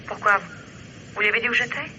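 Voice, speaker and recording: A woman's voice: mid-range voice, a little fast, sharp tone. An actress playing a nervous, secretive young woman on the run, speaking hurriedly through a telephone in a radio mystery play. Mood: anxious, hurried. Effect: telephone filter. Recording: thin, band-limited telephone line, faint hiss.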